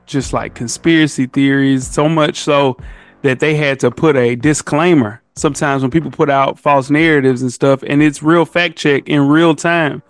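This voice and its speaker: A man's voice: on the high side, fairly even intonation, normal speed, dry recording, faint music behind. A man chatting casually as a podcast guest, emphatic and slangy, often calling people bro.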